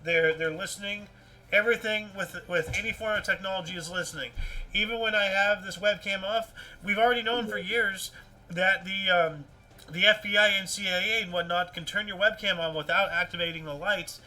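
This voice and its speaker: High, very bright-voiced man riffing on news. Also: fairly quick, rough recording. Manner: animated, repeats himself, rambling. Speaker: host of a livestream news show. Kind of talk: casual rambling news commentary. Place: indoors at a computer with webcam.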